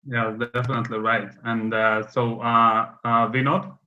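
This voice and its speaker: A man moderating an online panel, halting and full of filler sounds as he hands over to each speaker. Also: medium pitch, level tone. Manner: hesitant, many filler sounds. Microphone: video call. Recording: dull lo-fi call audio.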